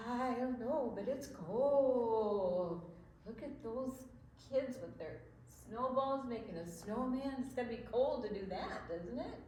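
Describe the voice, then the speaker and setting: An older woman co-hosting a children's storytime, chatting playfully and reading picture books aloud in an unhurried way. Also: fairly high pitch, lively intonation, mellow, slight background noise, poor audio. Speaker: children's storytime co-host and book reader.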